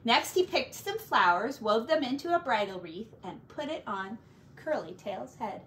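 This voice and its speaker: A middle-aged woman reading a picture book aloud to children like a teacher, with deliberate, drawn-out words and little asides. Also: on the high side, expressive, a bit bright, slight background noise. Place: small furnished room at home.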